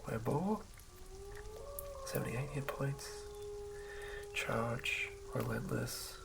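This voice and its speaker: A man reading in a hushed, breathy ASMR whisper with a halting, measured delivery. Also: medium pitch, a little hiss or hum, rough recording. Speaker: host of an ASMR channel. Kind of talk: ASMR reading of game rulebook.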